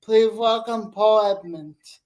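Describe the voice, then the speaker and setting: A young man hosting a sports interview, reading prepared questions in a careful, deliberate way. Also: sits high, darkish tone.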